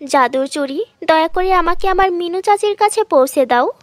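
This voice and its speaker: A woman voicing a kind, gentle young heroine of a cartoon fairy tale, speaking earnestly. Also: very high voice, expressive, normal speed, dry recording, studio quality, voice pushed up. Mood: pleading.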